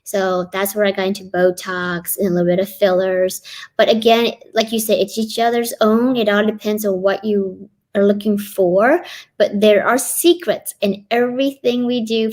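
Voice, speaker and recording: A woman's voice: medium pitch, steady tone, normal speed, darkish tone. A middle-aged woman from Kentucky, a guest on a live online interview, eagerly explaining her work. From Kentucky, USA. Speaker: guest, cosmetic physician assistant.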